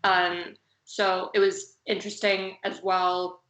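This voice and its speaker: A woman's voice: lowish voice, level tone, takes it slowly, fairly bright tone, echoey room, poor audio. A woman from California, a fashion designer hosting a casual live-streamed conversation, hedging with many fillers. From California, United States. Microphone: video call.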